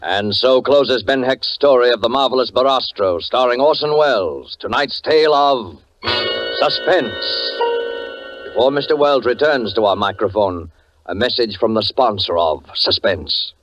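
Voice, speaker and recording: A man's voice: medium pitch, a little slow, highs cut off. A man announcing a 1940s radio drama in a formal, dramatic manner. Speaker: program announcer. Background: music.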